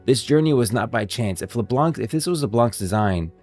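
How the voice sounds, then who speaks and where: A man's voice: mid-range voice, animated, brisk, a little mellow, faint music behind. A man streaming game lore commentary, moving between scripted narration and off-the-cuff explanation.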